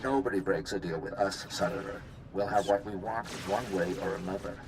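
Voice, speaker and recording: A threatening gangster for a video game in a deep voice. He sounds deliberate and menacing. Clear noise runs behind the voice. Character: menacing male gangster demanding payback. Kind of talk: dramatic video game acting. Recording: noisy game audio with battle sounds.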